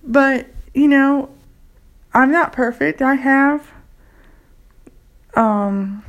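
A woman's voice: high voice, very slow, highs cut off. A woman in her forties, a community English tutor, speaking earnestly and enthusiastically in a casual personal testimonial. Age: 40s.

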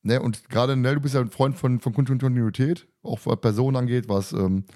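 A man speaks very quickly at a medium pitch as a podcast host. His manner is colloquial and teasing, in a casual discussion where he recaps and comments with jokes. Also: steady tone, warm tone.

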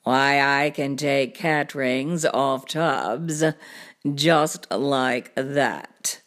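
A big tomcat trickster played by a woman speaks very low and very slowly. He drawls with boastful, chuckling assurance, as in a read-aloud children's storybook, and nothing ever worries him. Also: fairly bright tone, exaggerated voice. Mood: breezy confidence. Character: big cocky laughing male cat, lazily confident trickster.

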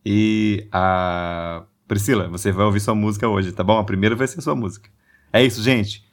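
A man's voice: fairly low pitch, steady tone, moderate pace. Nasal Brazilian man with a cold. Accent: Brazilian Portuguese. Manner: chatty, warm asides, many tag questions. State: stuffy with a cold. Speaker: podcast host. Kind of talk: casual religious podcast chat.

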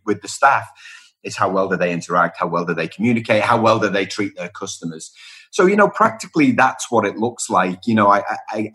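A middle-aged man from Liverpool speaks in a fairly low, husky voice. He answers interview questions conversationally, stacking up phrases and repeating them for emphasis. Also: a bit bright. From Liverpool, England. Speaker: podcast guest, speaker and author on workplace culture.

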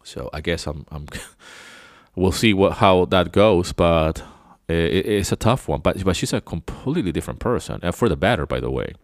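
Very deep-voiced man rambling. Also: lively intonation, normal speed, no room sound, clean studio sound. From Venezuela. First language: Spanish. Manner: rambling, loose and chatty. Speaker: podcast host. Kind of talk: casual reflective monologue.

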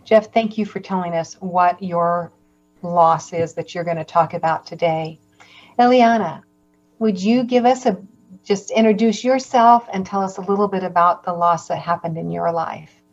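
A woman hosting a video call panel, gently and encouragingly introducing each guest. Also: fairly low pitch, moderate pace, lo-fi sound, soft background music. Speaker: host. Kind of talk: moderating a panel conversation.

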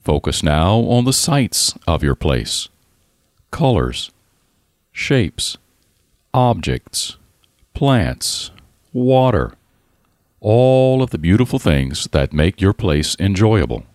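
A man narrating a scripted guided relaxation in a calm, soothing, deliberate manner with pauses. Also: low-pitched, no echo, clean studio sound.

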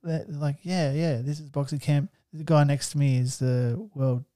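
A man's voice: fairly high pitch, fairly even intonation, moderate pace, mellow, dry recording, studio quality. A young Australian man telling stories in casual podcast chat, laid-back and swearing freely. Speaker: podcast host.

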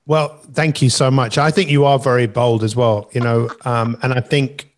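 A man's voice: mid-range voice, steady tone, moderate pace. A middle-aged man with a mid-range voice hosts a motivational session on an online call. He talks conversationally as a coach, landing points with emphasis and wandering into asides. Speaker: life coach and masterclass host.

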